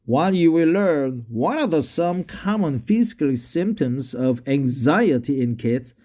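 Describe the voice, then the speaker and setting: A man with a Korean accent, a native Korean speaker practicing English in a casual vlog, slightly tipsy and halting, full of hesitation fillers. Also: on the high side, expressive, warm tone. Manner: halting, many drawn-out fillers. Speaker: English learner vlogging. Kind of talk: casual talk and reading aloud.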